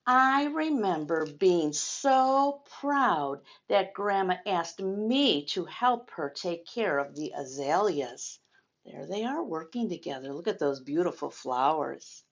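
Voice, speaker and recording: Older lady reading a picture book to little kids, takes it really slow, bit of a dull lo-fi recording. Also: fairly low pitch, lively intonation. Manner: speaks directly to young listeners, explaining and pointing things out. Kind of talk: read-aloud story time with chatty asides to children.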